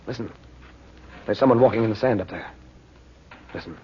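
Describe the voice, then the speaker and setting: An actor playing a calm, determined, commanding adventurer uncle in a vintage radio drama. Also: male voice, lowish voice, fairly quick, slight background noise, lightly acted. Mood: urgent, commanding. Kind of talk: 1940s radio drama acting. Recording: muffled old radio recording.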